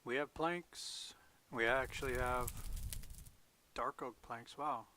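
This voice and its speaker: A man's voice: medium pitch, steady tone, slow pace, bright, forceful tone, a little hiss or hum. A man talking to himself as he plays a game, musing in half-whispered asides while losing his voice. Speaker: gaming video host. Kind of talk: casual solo gameplay commentary.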